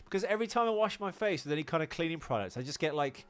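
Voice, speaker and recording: A man's voice: fairly high pitch, expressive, very fast, soft background music. A man co-hosting a film podcast with casual, jokey banter. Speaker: podcast co-host.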